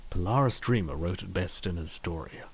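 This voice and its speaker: A man's voice: low voice, lively intonation, fairly quick, gravelly, a little hiss or hum. A man reading scripted story narration as the narrator of a comic dub.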